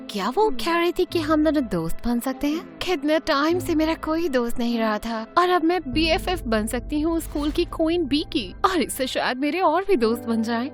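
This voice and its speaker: A woman narrating an animated story in first person in an expressive, chatty way. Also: sits high.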